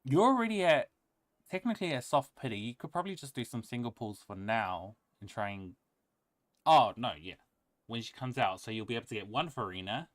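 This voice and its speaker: A man's voice: medium pitch, very animated, brisk, dry recording. A man streaming a video game, chatting casually with viewers and commenting as he plays. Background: faint game music.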